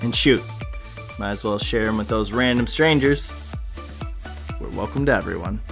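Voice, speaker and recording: A man's voice: fairly low pitch, normal speed, vocal fry, a bit bright, faint music behind. A man hosting a podcast in an informal, encouraging, conversational way. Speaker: podcast host. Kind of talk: casual interview chat.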